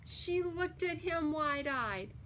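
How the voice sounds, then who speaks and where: A woman reading a children's picture book aloud in an expressive, deliberate and emphatic storytelling manner. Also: extremely high pitch, a little hiss or hum. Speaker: story time reader.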